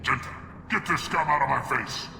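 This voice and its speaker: An actor playing a stressed, hot-tempered mercenary commander in a video game, curt, profane and forceful. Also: male voice, mid-range voice, moderate pace, vocal fry. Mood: furious. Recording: roomy hall reverb, faint noise.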